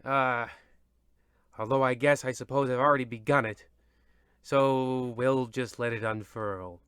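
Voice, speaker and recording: A world-weary horror-show narrator acted by a man, mid-pitched and forceful, recorded close and dry. He delivers old-radio narration with ominous, grumbling theatricality. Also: steady tone, unhurried, smooth, dry recording, lightly acted.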